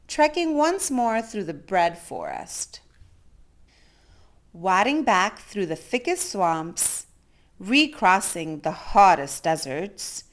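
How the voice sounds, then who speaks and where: A woman reading a children's picture book aloud as storyteller, theatrically, with drawn-out emphasis on key words. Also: mid-range voice.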